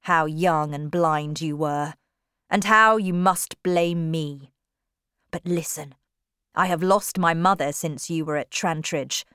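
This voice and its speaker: A woman voicing an intense, remorseful young gentleman turned preacher in an audiobook, pressing his case earnestly. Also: on the low side, clear, resonant tone, dry recording, studio quality. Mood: pleading, remorseful.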